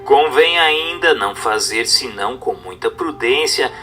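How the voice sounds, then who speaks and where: Bright, animated male narrator. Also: medium pitch, a little slow, soft background music. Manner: formal, instructive, carefully phrased. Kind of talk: scripted audiobook-style narration of a religious text.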